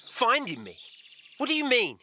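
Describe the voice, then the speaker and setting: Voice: medium pitch, huge pitch swings, moderate pace, pushed and bright, slight background noise, heavily acted. An actor voicing an anxious but defiant young boy in an audio drama, protesting as he speaks.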